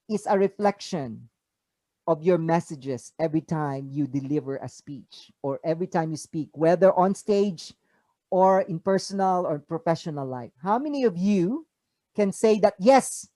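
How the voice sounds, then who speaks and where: A speaker with a Filipino accent giving an inspirational talk over a video call, asking the listeners rhetorical questions with emphatic rises. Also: male voice, high-pitched, a little mellow, no echo. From Philippines. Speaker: motivational speaker. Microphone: video call mic.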